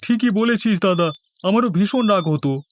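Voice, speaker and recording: A man voices a resentful, idle younger brother in a cartoon. His voice is very high for a man and eager to agree, with faint birdsong behind. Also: lively intonation, normal speed, slight background noise. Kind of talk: cartoon fairy tale dialogue.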